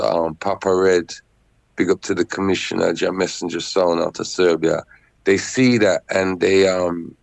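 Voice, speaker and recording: A man's voice: low voice, creaky, no room sound. A man, a musician guest chatting loosely and repeating himself on a remote call. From Netherlands. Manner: laid-back, repetitive, shouts out greetings. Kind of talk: casual interview chat.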